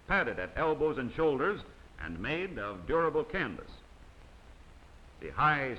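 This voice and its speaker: Man for a formal instructional film voice-over, bright, sharp tone, faint hiss underneath. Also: medium pitch, highs cut off. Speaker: narrator of a training film. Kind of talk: scripted documentary narration. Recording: faint hiss of old 16mm optical soundtrack.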